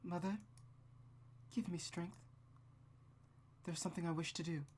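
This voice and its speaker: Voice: medium pitch, steady tone, a little slow, darkish tone, a little hiss or hum, natural voice. A player voicing a frail, apologetic young girl with a stammering, timid manner in a visual novel read-through. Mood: timid, resolved.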